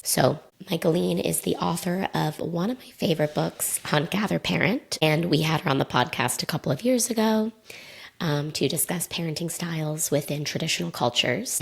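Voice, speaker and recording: Thirtysomething woman doing a solo podcast, low voice, bit raspy and hoarse like a mild sore throat, chatty and candid. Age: 30s. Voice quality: slightly raspy. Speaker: podcast host. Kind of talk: casual solo podcast monologue.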